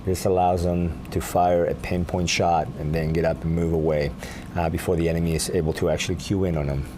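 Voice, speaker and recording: A man's voice: low-pitched, flat delivery, fairly quick. A man from Peru, an army sergeant major, answering questions earnestly in a live broadcast interview, often restarting his phrases. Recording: noisy outdoor broadcast.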